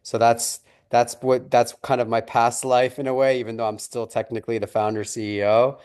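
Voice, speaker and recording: A man's voice: mid-range voice, level tone, moderate pace, darkish tone, smooth. A company founder chatting casually on a video call, upbeat and repeating his words as he goes. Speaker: company founder and event host.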